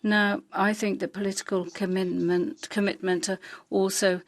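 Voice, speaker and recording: A woman interpreting a parliamentary speech live, halting, with many filler sounds and restarts. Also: mid-range voice, flat delivery. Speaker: simultaneous interpreter.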